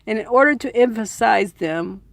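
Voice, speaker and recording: A woman's voice: on the high side, expressive, normal speed, gravelly, no room sound. A woman reading a book aloud earnestly, in an informal audiobook style with personal asides. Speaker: reader and commentator.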